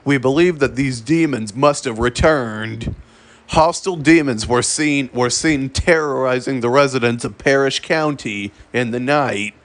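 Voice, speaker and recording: A man narrating a scripted emergency broadcast in a formal, solemn and deliberate manner. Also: medium pitch. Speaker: emergency alert announcer.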